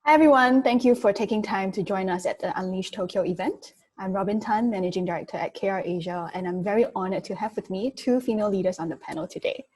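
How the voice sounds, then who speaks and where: A woman's voice: medium pitch, fast, hardly any pauses, a little mellow. A woman moderating an online business panel, introducing guests and asking questions in a friendly way with many fillers.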